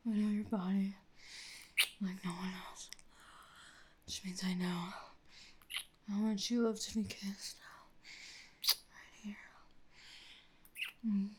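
A young woman speaking in an intimate audio roleplay, addressed to the listener, in a breathy, murmuring whisper. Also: mid-range voice, little pitch movement, slow, long pauses, darkish tone, a little hiss or hum.

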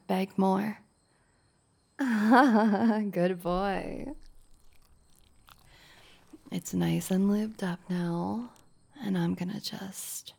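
A woman playing a dominant, teasing boss in an intimate adult audio roleplay, breathy and often dropping into whispers. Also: medium pitch, warm tone, heavily acted. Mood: teasing, commanding. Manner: breathy delivery with sighs and drops into whispers.

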